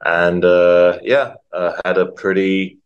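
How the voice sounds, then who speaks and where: A man talks as a podcast guest. His voice sits low and stays fairly flat. He speaks reflectively and often stops to repeat words. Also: takes it slowly, lo-fi sound. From Croatia, raised in London. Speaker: guest, nonprofit founder. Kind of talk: casual interview talk.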